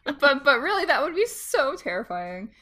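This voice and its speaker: A woman co-hosting a podcast while she has a cold, talking casually with vocal fry and laughing often. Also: sits high, sing-song, normal speed, pushed and bright. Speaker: podcast co-host. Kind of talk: casual chat.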